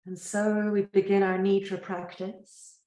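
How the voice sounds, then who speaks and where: A woman's voice: medium pitch, flat delivery. Woman guiding relaxation in an echoey room. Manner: gentle, unhurried, soothing, with long pauses. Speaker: yoga nidra teacher. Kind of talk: guided relaxation meditation.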